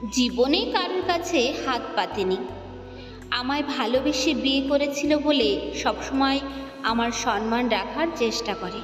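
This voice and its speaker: A woman voicing a chatty, confiding middle-aged housewife in an audio story, sentimental and proud of her husband. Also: high voice. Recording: roomy long reverb, music behind.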